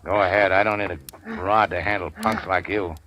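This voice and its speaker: A man's voice: low voice, fairly even intonation, moderate pace, bright, forceful tone. A man playing a cool-headed gangster go-between, dry and wisecracking, in 1940s radio drama style.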